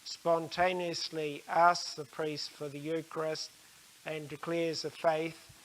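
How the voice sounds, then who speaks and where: An older man giving an explanatory religious talk in a measured, halting way, with frequent pauses mid-sentence. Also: on the high side, little pitch movement, fairly bright tone, low-quality recording.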